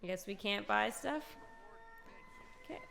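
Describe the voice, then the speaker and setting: Slow, creaky-voiced woman streaming games. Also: mid-range voice, lively intonation, over music. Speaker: game streamer. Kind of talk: casual live reactions to gameplay.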